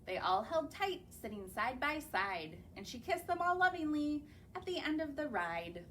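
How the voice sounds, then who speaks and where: A woman's voice: sits high, sing-song, moderate pace, a bit bright, a little hiss or hum. A young woman, a children's librarian, reading picture books aloud in a library in a sing-song way and asking the children questions. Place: public library.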